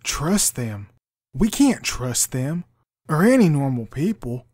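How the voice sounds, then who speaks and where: A man's voice: a little high, very animated, slow pace, a little mellow, dry recording, clean studio sound, heavily acted. A man playing an angry, bitter young man who trusts nobody, harsh and accusing, in an amateur audio drama. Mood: bitter anger.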